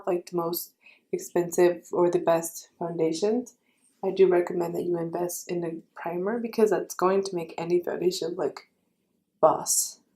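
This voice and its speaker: Young female beauty vlogger, raspy, warm low-brightness tone, casual chatty tutorial delivery. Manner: chatty, self-correcting, friendly advice.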